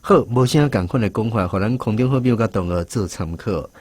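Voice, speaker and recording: A man's voice: fairly low pitch, a little fast, a little mellow, no echo. A man with a Taiwanese accent hosting a radio segment and introducing speakers. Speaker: host of a language quiz segment.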